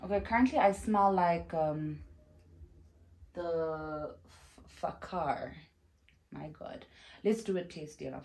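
A woman's voice: on the low side, slow pace, pauses often, a little mellow. A young woman from South Africa chatting effusively in a casual perfume review vlog, filmed at home on a camera in front of her. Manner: chatty and effusive, with exclamations and fillers. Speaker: vlogger. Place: home room with shelves, indoors.

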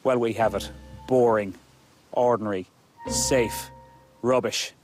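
A man's voice: medium pitch, fairly even intonation, slow, music in the background. A man judging a task, blunt and dismissive in his critique. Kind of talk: reality show critique.

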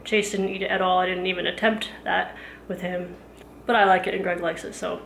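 A woman's voice: on the low side, little pitch movement, a little fast, creaky, fairly bright tone, reverberant, slight background noise. A woman in her thirties chatting casually through a home cleaning and cooking vlog, rambling with plenty of fillers, filmed on a handheld camera in her kitchen. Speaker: home vlogger. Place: kitchen of a house. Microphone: handheld camera at arm's length.

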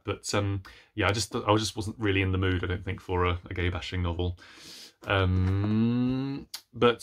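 Man, thirties, book vlogger. Low, bright, forceful voice; playful, rambling, casual delivery to camera. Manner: animated, playful, rambling with self-deprecating asides. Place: small room at home with bookshelves.

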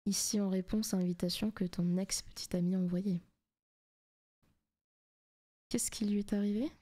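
Woman acting a soft, whispery, possessive demoness. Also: medium pitch, level tone, normal speed, natural voice. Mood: sly, menacing. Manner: soft, teasing, frequently dropping into whispers. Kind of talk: ASMR roleplay, intimate scripted monologue.

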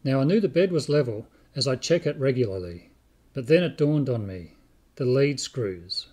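An older man, around sixty, with a slightly husky voice, giving a measured, scripted explainer as a video host. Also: mid-range voice, lively intonation, a little mellow. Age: older, about 60. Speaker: video host, 3D print designer.

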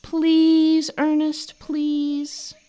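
A woman's voice: sits high, completely flat delivery, very slow, mellow, pitched above the performer's own voice. A woman voicing a mischievous, eager little boy monkey in a storybook, exclaiming and wheedling. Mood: pleading. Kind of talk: storybook character voice.

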